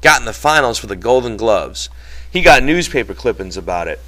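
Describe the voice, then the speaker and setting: A man's voice: mid-range voice, animated, brisk, sharp tone. Man doing a brash, slangy young ranch hand. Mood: gossipy. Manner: folksy slangy rural speech, double negatives. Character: brash, gossipy young male ranch hand, chatty and sarcastic.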